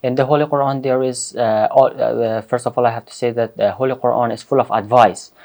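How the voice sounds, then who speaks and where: Halting young man from Afghanistan. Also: mid-range voice, steady tone, vocal fry, darkish tone. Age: young adult. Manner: halting, many uh fillers, earnest. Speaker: student guest. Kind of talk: hesitant interview answers. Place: studio.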